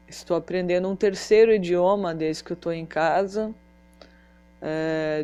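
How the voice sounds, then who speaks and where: A woman talking in a personal vlog, halting with pauses and restarts, Portuguese not her first language. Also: lowish voice, dark tone, smooth. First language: another language than Portuguese. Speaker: vlogger.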